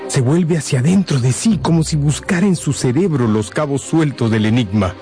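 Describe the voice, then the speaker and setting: A man's voice: mid-range voice, normal speed, dark tone, faint music behind. A man narrating a scripted radio drama as a dramatic storyteller.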